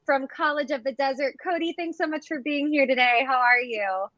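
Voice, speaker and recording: A young woman from Ohio hosts a live interview, upbeat and quick to cheer her guests on. Her voice sits a little high. The sound is dull and lo-fi, dry, with no room behind it. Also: a bit bright, dry recording. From Ohio, USA. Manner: upbeat, says "awesome" often. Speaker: host.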